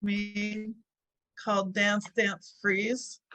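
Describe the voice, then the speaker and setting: A woman with a soft voice, answering as a therapy client on a video call in slow, brief replies. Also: mid-range voice, level tone.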